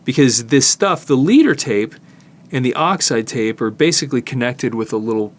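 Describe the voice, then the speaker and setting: A man's voice: medium pitch, lively intonation. Older man explaining, noisy recording. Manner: explanatory, halting, thinking aloud while working. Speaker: host, tape digitizing technician. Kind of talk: casual hands-on demonstration. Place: studio, indoors.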